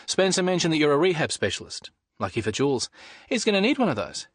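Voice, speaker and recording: A man voicing a caring young male doctor who talks brisk and friendly. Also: a little high, sing-song, a bit bright, dry recording, voice pushed up. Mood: reassuring.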